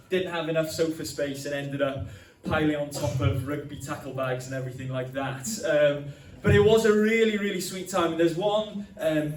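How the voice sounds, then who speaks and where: A man's voice: fairly high pitch, a little hiss or hum. A young man preaching a sermon in a chatty, storytelling way, with many ums. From Wales. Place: church hall. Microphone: handheld mic. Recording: echoey hall from a distance.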